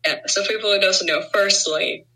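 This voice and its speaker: A woman's voice: medium pitch, a little fast, bright, forceful tone, poor audio. A woman from Norway with a southern Norwegian accent, co-hosting a casual podcast and chatting with many fillers and restarts. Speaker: podcast co-host.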